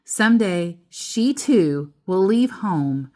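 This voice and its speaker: A woman reading a children's book aloud as a storyteller, deliberately, pausing between short phrases and adding casual asides. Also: mid-range voice, animated, dark tone.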